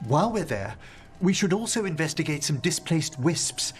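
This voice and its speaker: A man's voice: a little high, sing-song, normal speed, soft background music. A man playing a mild-mannered, scholarly necromancer in a fantasy video game, speaking formally and politely with precise phrasing. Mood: polite, concerned.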